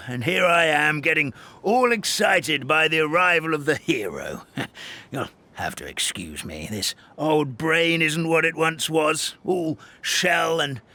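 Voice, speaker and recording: A man's voice: on the high side, lively intonation, slow, sharp tone, exaggerated voice. A man voicing a forgetful, chubby old paper hamster who is an elder of a forest, fussy and stammering. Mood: excited. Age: older.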